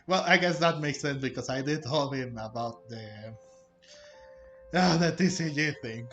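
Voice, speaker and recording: A man's voice: medium pitch, a little slow, fairly bright tone, echoey room, highs cut off, soft background music. A man hosting a gameplay video with casual, hesitant commentary, searching for his words. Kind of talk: casual let's play commentary.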